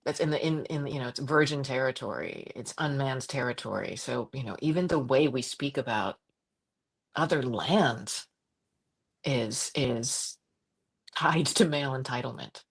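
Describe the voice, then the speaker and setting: A middle-aged woman from Croatia, a journalist guest talking passionately in an interview, with frequent restarts and quick laughs. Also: gravelly, slightly rough. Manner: animated, impassioned, jumps to high pitch for quoted voices. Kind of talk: conversational interview.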